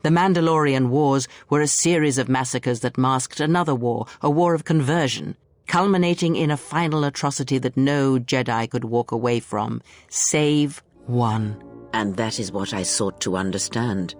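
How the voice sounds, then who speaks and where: An older woman playing a bitter, contemptuous old Sith master in a video game, speaking in a theatrical, deliberate, preaching way. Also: extremely low pitch, dry recording. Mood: quiet, bitter. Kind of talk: dramatic video game monologue. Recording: music behind. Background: music.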